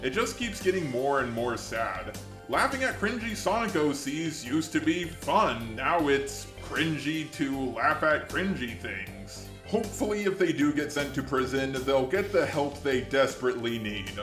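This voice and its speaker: A man's voice: medium pitch, expressive, unhurried, fairly bright tone. A man's synthetic robotic voice delivering scripted video commentary. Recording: echoey, music underneath. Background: background music.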